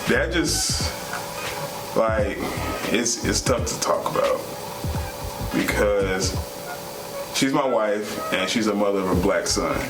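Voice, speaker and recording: Guy vlogging, kinda deep voice, earnest, roomy noisy recording. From United States. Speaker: vlog co-host. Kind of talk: casual sincere talk to viewers. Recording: echoey room with clear background noise.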